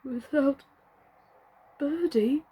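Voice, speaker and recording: A woman acts out an anxious little gentleman doll from a children's storybook. He is earnest and wistful, with a slightly trembling, sentimental delivery. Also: high-pitched, very slow, pitched above the performer's own voice.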